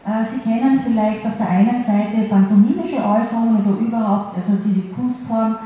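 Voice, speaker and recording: A woman interpreter voicing a signed lecture in the first person, in a halting way with restarts and pauses, in a large wood-panelled hall. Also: fairly high pitch, fairly even intonation, dark tone. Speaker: sign language interpreter. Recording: very echoey hall, faint ambience.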